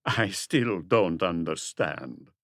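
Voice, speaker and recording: A man voicing a suave, guarded crook turned businessman in an audiobook, with slow, smoothly polite, deliberate speech. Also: deep voice, very animated, fairly bright tone, no room sound, clean studio sound, full character voice. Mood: guarded, indignant. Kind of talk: audiobook character dialogue.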